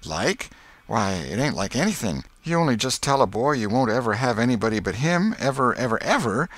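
A man's voice: medium pitch, very animated, fairly quick, fairly bright tone, dry recording, voice pushed up. A man voicing an eager, boastful young schoolboy in a storybook, with a folksy, insistent way of talking. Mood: coaxing.

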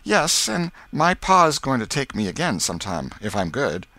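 A man's voice: medium pitch, sing-song, moderate pace, bright, forceful tone, no echo, pitched above the performer's own voice, full character voice. A man voicing an eager, boastful young schoolboy in a storybook, with a folksy, insistent way of talking.

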